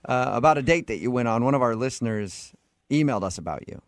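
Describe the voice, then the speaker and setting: A man's voice: medium pitch, expressive, fast talker, no echo, studio quality. A man hosting a radio show, chatting casually and probing a caller in a chummy, conversational way. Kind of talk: casual prank-style phone interview banter. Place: radio studio.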